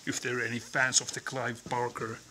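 A man's voice: mid-range voice, level tone, a little fast, noisy background. A man in his thirties with a Finnish accent, tiredly rambling as he explores an abandoned building, recorded on a chest-mounted camera. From Finland. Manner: hesitant, rambling, tired-sounding. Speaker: urban explorer vlogger. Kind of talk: casual vlog narration while exploring. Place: abandoned industrial building, indoors. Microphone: camera on a chest harness.

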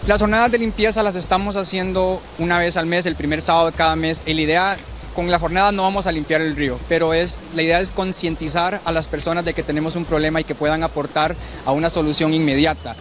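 A man's voice: fairly high pitch, steady tone, fast talker, fairly bright tone, faint music behind. A man from an environmental group, explaining earnestly in an interview. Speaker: environmental organization member.

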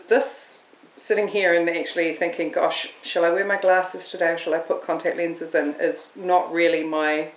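A middle-aged woman with a New Zealand accent, hosting a podcast in a chatty, self-deprecating way and laughing often. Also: on the low side. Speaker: podcast host. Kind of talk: casual solo talk to camera. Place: room at home. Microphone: camera on a stand. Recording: roomy, slightly dull home recording.

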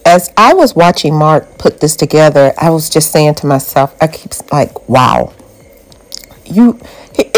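Woman, low-pitched, friendly how-to vlog narration. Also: darkish tone, low-quality recording. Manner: chatty, encouraging how-to tips. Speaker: DIY home vlogger. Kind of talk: casual voice-over narration.

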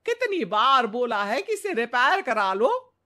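A woman voicing an irritable, scolding middle-aged mother-in-law in an animated story, nagging with exasperated sarcasm. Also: a little high, measured pace, voice pushed up, full character voice.

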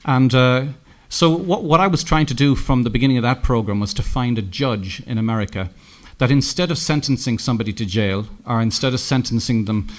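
A middle-aged Irish man giving a conference keynote, telling anecdotes with lots of halting restarts and self-corrections. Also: mid-range voice. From Ireland. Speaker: keynote speaker. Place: conference room, indoors.

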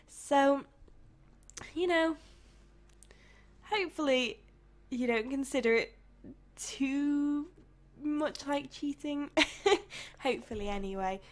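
A young woman from the UK with a croaky, tired voice, chatting casually and rambling as a YouTuber over her game build. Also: high voice, slow, pauses often. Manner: rambling, chatty, with soft laughs.

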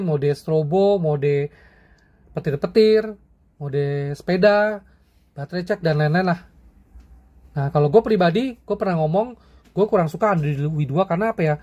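A man's voice: a little high, dark tone, dull audio. A young man casually reviewing and comparing flashlights, chatty and slangy, often tagging his sentences with friendly fillers. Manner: chatty, slangy, frequent "ya" and "teman-teman". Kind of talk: casual product review vlog.

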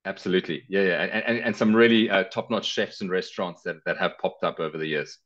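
A man's voice: deep voice, fast talker, fairly bright tone. A middle-aged man with a South African accent, talking casually as a travel guest in an interview. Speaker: travel guest, car rental company director. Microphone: headset on a video call. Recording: slightly dull video call audio.

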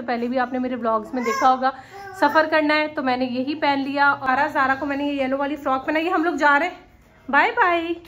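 A woman's voice: high-pitched, fairly bright tone. Chatty young woman vlogging on a train. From Pakistan. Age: young adult. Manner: chatty, talks to camera while travelling. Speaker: family vlogger. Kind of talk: casual vlog narration. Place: train station and sleeper train carriage. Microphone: phone camera held at arm's length. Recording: noisy crowded station and train. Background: station crowd and train noise.

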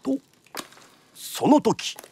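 An actor playing a lively storyteller, narrating a radio drama in theatrical, punchy traditional phrasing. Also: male voice, very slow, heavy background noise. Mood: vivid narration.

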